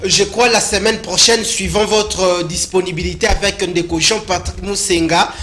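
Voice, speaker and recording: A Congolese French-accented man with a notably high voice for a man. He hosts a political talk show, leading the guest with questions and quick acknowledgements as he moves between French and Lingala. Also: steady tone, fairly bright tone, soft background music. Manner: prompting with short acknowledgements, mixing French and Lingala. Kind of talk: interviewing a guest.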